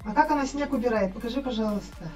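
A woman's voice: fairly high pitch, fast, far from the mic, loud music behind the voice. A woman off camera playing with a child, asking encouraging questions in casual chat at home. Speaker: off-camera adult helping a child host. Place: indoor room at home.

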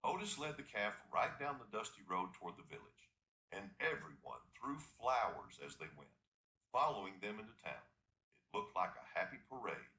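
A man reading a children's story as a scripted, dramatic and expressive narration. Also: on the low side, normal speed, reverberant, rough recording.

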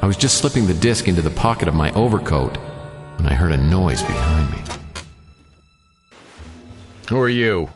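A man's voice: low-pitched, animated, brisk, reverberant, big-room sound, over music. An actor playing a wry, hard-boiled private detective, narrating his case dryly in a noir radio drama. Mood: brooding, narrating, then defiant. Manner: dry, wisecracking.

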